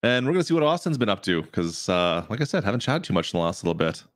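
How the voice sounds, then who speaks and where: A man in his thirties chats fast and with lots of lift and fall in his voice, often restarting his sentences. He speaks into a studio mic on an arm in a home streaming room, and the sound is close and dry. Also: on the low side, no room sound. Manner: quick, animated talk with restarts. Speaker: co-host. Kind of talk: casual livestream chat.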